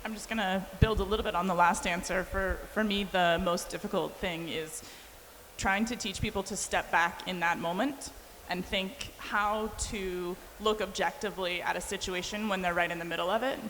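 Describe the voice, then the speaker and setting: A woman's voice: fairly low pitch, steady tone, moderate pace, fairly bright tone. A woman from Canada who coaches a university team, speaking steadily and articulately on a panel. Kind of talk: panel discussion. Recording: reverberant hall with faint noise.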